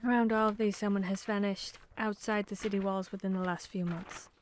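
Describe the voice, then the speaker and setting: A woman's voice: mid-range voice, steady tone, a little fast, a little hiss or hum, natural voice. A woman playing an earnest, bookish vampire hunter who thinks aloud and gets slightly flustered, in a conversational ASMR audio roleplay. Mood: earnest explaining.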